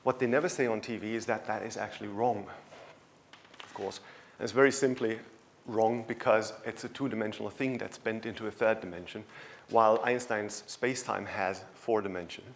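A man's voice: fairly low pitch, fairly even intonation, a little fast, slight background noise. A man giving a conversational science lecture in a large lecture hall, speaking into a handheld microphone with a storytelling manner.

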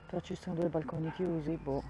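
A woman's voice: low-pitched, fairly even intonation, fast talker, dark tone, slight background noise, rough recording. A woman giving a casual walking tour of a campground, thinking aloud and often restarting her sentences, recorded on a handheld phone outdoors. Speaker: vlogger touring a campsite.

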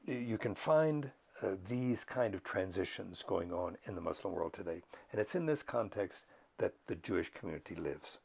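An older man, a professor, lecturing to camera in a measured, thoughtful way with frequent pauses and fillers. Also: on the low side, vocal fry, darkish tone, slightly rough. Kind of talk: unscripted educational talk to camera.

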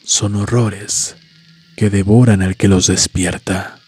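A man doing scripted, dramatic storytelling with measured, deliberate phrasing. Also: lowish voice, fairly even intonation, darkish tone, slightly rough. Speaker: horror story narrator and channel host. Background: music.

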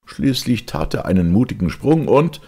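A man narrating a children's storybook as an expressive audiobook reader. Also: medium pitch, moderate pace, vocal fry, slightly rough.

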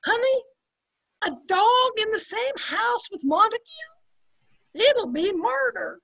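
A woman's voice: very high-pitched, animated, slow, gravelly, bright, forceful tone, exaggerated voice. A woman in her sixties playing a sardonic, long-suffering, wisecracking maid in an old-time radio comedy, recorded over a video call. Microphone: computer mic on video call.

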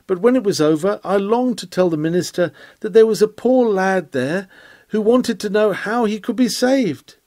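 Man, sermon reader, lively rise and fall, earnest, emphatic, oratorical, dry close sound. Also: fairly high pitch, dry recording. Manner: emphatic, earnest, measured phrasing. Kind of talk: sermon read aloud, oratorical.

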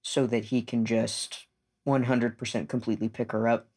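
A man's voice: mid-range voice, flat delivery, normal speed, a little mellow. A man playing a tabletop roleplaying game, casually narrating what his character does.

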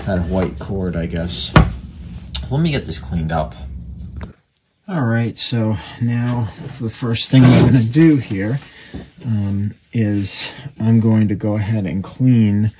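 A man giving casual hands-on repair commentary, halting and thinking aloud as he works at an indoor bench. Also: on the low side. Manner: unscripted, halting, thinking aloud while working. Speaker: radio repairer demonstrating his work. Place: indoor workbench. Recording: noisy workshop recording with clicks.

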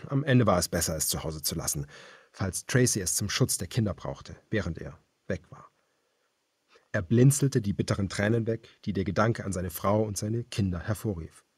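A man narrating a thriller audiobook in a grave, measured, dramatic storytelling manner. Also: on the low side, breathy edge, no room sound, studio quality.

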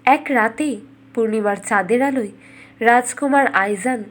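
A woman with a highish voice narrates a scripted fairytale. She tells it as the storyteller of a cartoon bedtime story. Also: measured pace, slight background noise.

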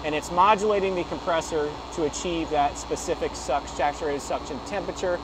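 A man's voice: a little high, fairly quick. Man explaining controls over machinery hum. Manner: casual, explanatory, with frequent "you know" and self-corrections. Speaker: HVAC equipment rep giving a tutorial. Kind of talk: unscripted technical walkthrough. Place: beside a rooftop HVAC unit, outdoors. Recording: noisy outdoor phone recording with machinery hum. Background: running compressor and fan hum.

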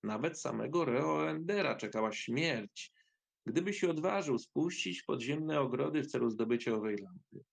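A man's voice: medium pitch, expressive, moderate pace, creaky. A man reading scripted fairy-tale narration in an audio drama.

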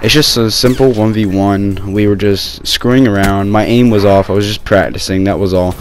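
A young man with a sore throat, talking low and rambling casually over gameplay footage on his gaming channel. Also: fairly even intonation, moderate pace. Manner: rambling, many fillers and restarts.

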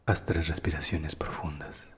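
A man's low voice leads a guided meditation at a very slow pace. He is calm and soothing, letting phrase endings soften into breath. A long reverb surrounds every phrase. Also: whispered.

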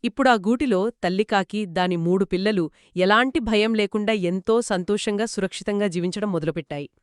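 Expressive woman telling a children's story. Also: medium pitch, fairly quick, clear, resonant tone, no room sound, clean studio sound. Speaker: co-host storyteller. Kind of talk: scripted children's storytelling in dialogue.

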